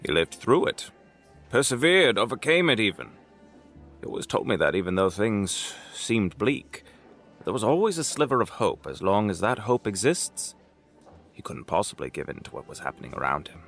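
A man's voice: fairly low pitch, big pitch swings, moderate pace, fairly bright tone, soft background music, exaggerated voice. An actor playing an avuncular older city mayor in an audio drama, hearty and fatherly. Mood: reassuring.

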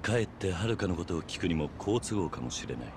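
An actor playing a stoic, weary middle-aged tough guy in a video game, terse, gruff and restrained. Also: deep voice, big pitch swings, brisk, noisy background. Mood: calm. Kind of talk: video game cutscene acting.

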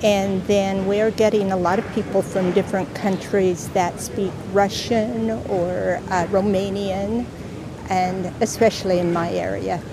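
A woman's voice: medium pitch, steady tone, unhurried. Middle aged american lady answering questions outside, kinda unsure, street noise. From United States. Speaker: street interviewee. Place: city square outdoors.

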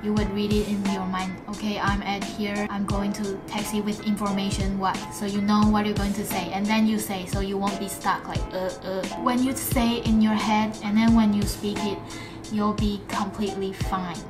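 A woman's voice: medium pitch, level tone, normal speed. A young woman giving casual tips to camera in simple, informal wording with non-native phrasing. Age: young adult. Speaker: student pilot vlogger. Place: small room indoors. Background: background music.

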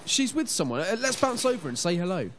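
A man's voice: high voice, big pitch swings, fast talker. A man playing a polite, friendly young man coaxing his friend along in an amateur radio play. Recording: faint background noise.

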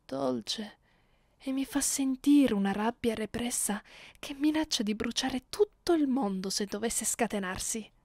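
A woman playing a haughty, seductive, sadistic female vampire in an ASMR roleplay, commanding and deliberate in manner. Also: medium pitch, a little mellow, dry recording, studio quality, close to an everyday voice. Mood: intrigued, haughty. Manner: commanding, slow and deliberate, slipping into breathy whispers. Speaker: roleplay narrator and voice actor. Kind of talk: ASMR roleplay, slow and close. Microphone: close, dry microphone.

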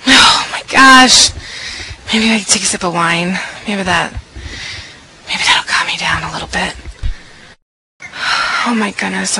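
A woman's voice: medium pitch, gravelly, pushed and bright, a little hiss or hum, poor audio. A young woman playing a nervous teen girl left home alone, talking anxiously to herself and often whispering. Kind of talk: amateur horror skit acting.

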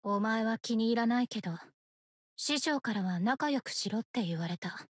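A woman's voice: on the high side, lively intonation, moderate pace, breathy edge, dry recording, studio quality. A woman voicing a devoted young female ninja in an anime game, earnest, terse and possessive of her master. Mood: cool, guarded. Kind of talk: Japanese anime game character voice lines.

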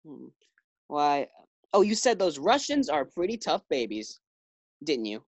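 A woman's voice: low-pitched, big pitch swings, unhurried, a little mellow, dry recording, full character voice. A woman playing a distracted, affable husband with hesitant delivery in an old-time radio sitcom. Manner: hesitant, absent-minded. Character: distracted, affable husband reading his morning paper.

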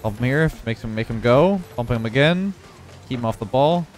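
A young man with a congested voice giving relaxed running commentary on his own video game match. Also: medium pitch, moderate pace, soft background music. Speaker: gaming video creator. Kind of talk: live gameplay commentary.

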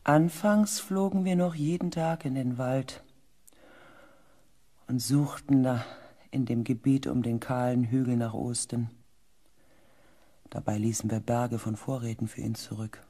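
A woman playing an anxious, weary space expedition pilot, narrating a dramatic audio drama in a hushed, intense way. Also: extremely low pitch, husky, heavily acted. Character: anxious, weary expedition pilot recounting events, far future.

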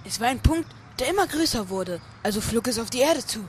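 A man's voice: very high voice, expressive, brisk, a little hiss or hum. A man narrating a parody audio drama in the first person, as scripted storytelling. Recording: music under the voice.

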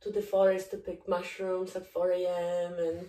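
A woman's voice: fairly low pitch, moderate pace. A woman in her thirties from Poland, a native Polish speaker with a Polish accent, telling her life story openly as a documentary maker being interviewed. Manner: open, candid, animated in places. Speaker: guest, documentary filmmaker. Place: living room, indoors. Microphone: far camera. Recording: echoey room, far from mic.

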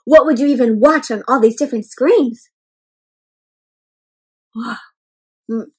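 A young woman doing an interactive read-aloud for children, exclaiming and putting questions to her young listeners in an animated way. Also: fairly high pitch, measured pace, breathy edge. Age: young adult. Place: indoor room with bookshelves.

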